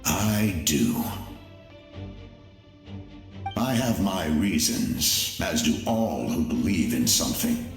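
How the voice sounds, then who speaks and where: A man voicing a grave, ruthless ancient warrior in a video game, with measured, formal and solemn delivery. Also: a bass voice, lively intonation, echoey room, soft background music, exaggerated voice. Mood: cold, resolute. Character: grave, ruthless ancient warrior, a living story of a loyal second in command. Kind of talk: dramatic video game voice acting.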